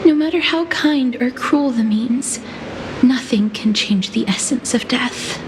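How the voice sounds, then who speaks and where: A woman voicing a gentle, sorrowful young mortician and former holy maiden in a story video game, speaking softly and deliberately. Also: on the high side, noisy background, natural voice. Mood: somber reflective. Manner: soft, deliberate, wistful, with sighs. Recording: music behind the voice.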